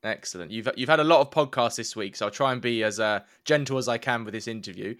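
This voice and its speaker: A man's voice: medium pitch, fast talker, fairly bright tone, no room sound, studio quality. A man hosting a podcast interview, reading a partly scripted introduction and asking friendly questions, calling his guest mate. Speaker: podcast host.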